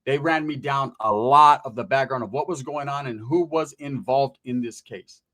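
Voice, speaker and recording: A man's voice: mid-range voice, moderate pace, a bit bright. A man hosting informal true-crime commentary, talking straight to viewers in emphatic street slang with rhetorical questions and some swearing. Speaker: online commentary host.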